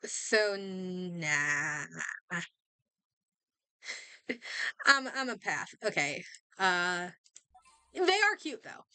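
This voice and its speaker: A woman streamer gives casual, unhurried game commentary, thinking aloud as she goes. Her voice is bright and a little high, swinging widely in pitch with an expressive, chatty delivery. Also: smooth, no room sound.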